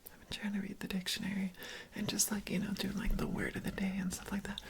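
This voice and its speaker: A woman doing a whispered ASMR roleplay as an awkward, shy organ harvester, speaking haltingly and repeating phrases softly. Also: medium pitch, completely flat delivery. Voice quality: breathy whisper with fry. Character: awkward, shy female organ harvester prepping a living patient.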